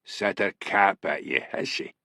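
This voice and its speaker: A man voicing a sly, cunning old Highland seer, needling and teasing in an audiobook story. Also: measured pace, vocal fry, pushed and bright, no echo, clean studio sound, exaggerated voice. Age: older.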